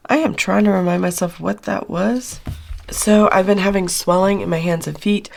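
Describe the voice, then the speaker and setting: A middle-aged woman, hoarse after losing her voice, chatting casually through her weekly budget check-in with lots of fillers. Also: medium pitch, fairly even intonation, moderate pace, a little mellow, slight background noise. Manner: chatty, rambling with many fillers. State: hoarse, recovering from lost voice. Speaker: budgeting channel host.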